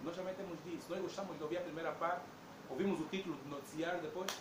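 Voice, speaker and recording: A man's voice: a little high, fairly even intonation, racing. A man with an Angolan Portuguese accent, speaking at a public debate with emphatic rhetorical questions. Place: debate hall indoors. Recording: echoey room with background noise.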